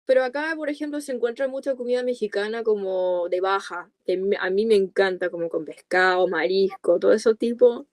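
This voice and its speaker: A woman's voice: medium pitch, a little fast, hardly any pauses, a little mellow, no echo, studio quality. A woman in her twenties from California, a native English speaker, chatting casually and colloquially as an interview guest. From United States, California. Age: 20s. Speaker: podcast guest, content creator. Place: small dark room with coloured lights, video call.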